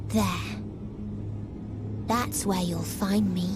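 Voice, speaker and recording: Slow, solemn otherworldly villainess. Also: female voice, mid-range voice, fairly even intonation. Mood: bleak, solemn. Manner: solemn, formal, deliberate phrasing. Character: grave, nihilistic otherworldly female villain. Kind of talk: dramatic video game voice acting. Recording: music behind the voice.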